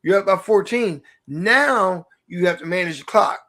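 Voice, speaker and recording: A man who coaches high school basketball, talking through rules in a thoughtful, searching way on a casual podcast. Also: moderate pace, bright, forceful tone. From Oklahoma. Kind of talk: casual sports chat.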